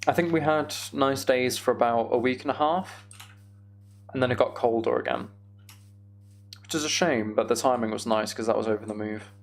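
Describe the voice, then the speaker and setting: A man's voice: mid-range voice, normal speed, creaky, slight background noise. A man streaming a video game, chatting casually and thinking aloud in a rambling way.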